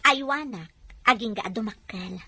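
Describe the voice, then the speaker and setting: A woman playing a kindly married woman taking in a baby, expressive and questioning, in an Ilocano audio drama. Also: fairly high pitch, moderate pace, slightly rough, exaggerated voice. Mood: warm, assuring.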